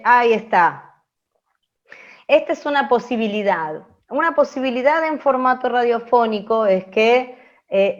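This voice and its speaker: Dull-sounding call, slow-talking Argentine woman teaching. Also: fairly high pitch. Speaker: radio drama teacher. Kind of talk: explaining in an online open class. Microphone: video call. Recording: dull, lo-fi online call audio.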